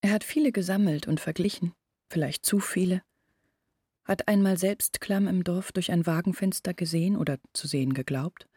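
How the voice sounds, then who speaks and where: An actress playing an earnest village woman in a radio play, explaining things in a measured, husky voice. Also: lowish voice, dark tone, dry recording, clean studio sound. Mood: earnest, reflective. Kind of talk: literary radio play monologue.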